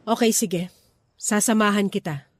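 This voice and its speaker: A woman's voice: medium pitch, moderate pace, lightly acted. An actress playing a grieving, defensive mother in a Filipino radio drama, emotional and insistent, with a Filipino accent. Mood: subdued, weary. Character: grieving, defensive young mother and wounded wife.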